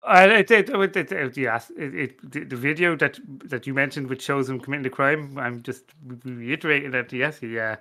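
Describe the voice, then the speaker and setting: A man's voice: medium pitch, moderate pace, few pauses, sharp tone. A man playing a flustered, bumbling junior detective in an unscripted comedy radio play, stammering, hesitant and apologetic. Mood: floundering. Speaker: podcast panellist.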